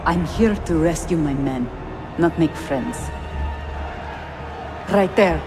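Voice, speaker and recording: An actor playing a wary, determined rebel fighter in a game cutscene, blunt and urgent. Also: male voice, a little high, slow pace. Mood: angry, urgent. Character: wary, hot-headed male rebel fighter, gruffly determined. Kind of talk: video game cutscene acting. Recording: long echo, music behind.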